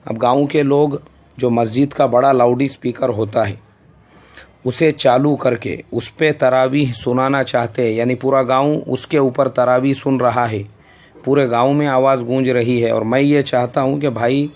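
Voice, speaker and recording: A man with an Indian accent gives a religious answer in the manner of a sermon. He scolds and hammers his points home with repetition, and his voice sits at an ordinary middle pitch. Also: fairly even intonation, unhurried, darkish tone. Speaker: Islamic scholar answering questions.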